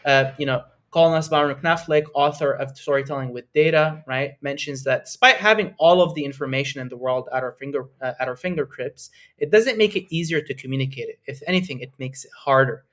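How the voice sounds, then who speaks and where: A man's voice: medium pitch, brisk, few pauses, fairly bright tone. A young man from Lebanon hosting a live online webinar, talking in an enthusiastic, conversational way with frequent fillers and "right?" tags. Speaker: webinar host and presenter.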